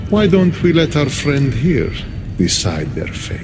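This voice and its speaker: An actor playing a sly, amused god who rules the underworld in a video game, with a smug, theatrical manner. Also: male voice, fairly high pitch, huge pitch swings, measured pace, music in the background, full character voice. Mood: amused, imperious. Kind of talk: video game cutscene acting.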